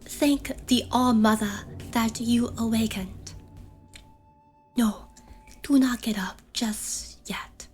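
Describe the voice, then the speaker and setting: Woman acting a slow, solemn, whispering shamaness. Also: a little high, steady tone, a little mellow, soft background music. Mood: solemn, guiding. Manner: archaic, commanding, deliberate speech. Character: solemn protective shamaness guiding an afflicted listener. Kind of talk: ASMR fantasy horror roleplay, half-whispered.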